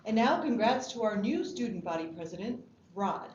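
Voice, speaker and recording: A woman playing a formal, encouraging school staff member in a drama table read, measured in delivery. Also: medium pitch, sing-song, lightly acted. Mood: formal approval. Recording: dull, echoey room with background noise.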